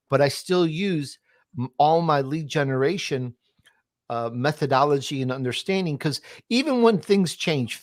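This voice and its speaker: A man's voice: a little high, no echo, studio quality. A man speaking as an interview guest, telling his business story and giving advice in an emphatic, self-assured, conversational way. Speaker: interview guest, podcaster and entrepreneur.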